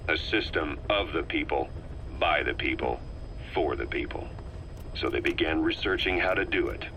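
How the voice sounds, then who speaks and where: An actor playing a shrewd, grave male spy in a video game, giving a recorded briefing in a measured, ominous, deliberate way. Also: a bass voice, animated, pushed and bright, heavily acted. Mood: confiding, sober. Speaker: intelligence informant. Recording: noisy audio cassette tape playback.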